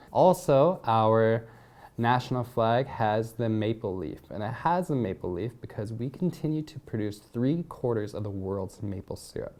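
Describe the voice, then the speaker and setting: A man's voice: mid-range voice, darkish tone. A man from Canada giving a prepared, upbeat talk to students, rattling off facts in a list-like way. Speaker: English teacher.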